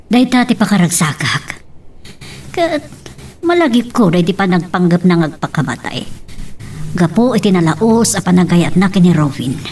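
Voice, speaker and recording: Lively-voiced fussing mother. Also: on the low side, moderate pace, long pauses, a little hiss or hum. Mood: concerned, chatty. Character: fussing middle-aged mother, caring and watchful. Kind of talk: Philippine radio soap acting.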